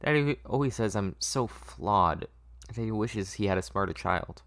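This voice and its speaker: A man's voice: fairly low pitch, expressive, unhurried, fairly bright tone. A man voicing a small, frightened, naive little girl who speaks hesitantly and stammers, in a story read-through. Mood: sad, naive.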